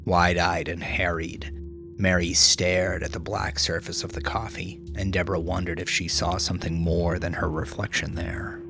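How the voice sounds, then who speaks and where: A man's voice: sits low, level tone, normal speed, gravelly, music in the background. A man reading a crime story as a dramatic, scripted audiobook narration.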